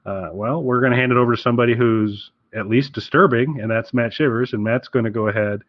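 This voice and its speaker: A man's voice: mid-range voice, fairly even intonation, quick pace, no room sound. A man co-hosting a podcast in casual chat, rambling and correcting himself as he goes. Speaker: podcast co-host. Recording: dull, lo-fi close dry audio.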